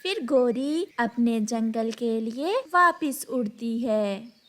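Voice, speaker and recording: A woman's voice: fairly high pitch, animated, slow pace. A woman reading scripted narration for a children's story as its narrator.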